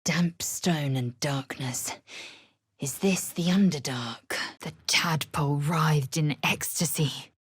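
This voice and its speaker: A woman playing a proud, fierce young female alien warrior in a video game, with a raspy voice and clipped, haughty, deliberate delivery. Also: no room sound, studio quality, heavily acted. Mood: hushed, wary. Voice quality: raspy, husky, breathy when low. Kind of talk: video game character acting.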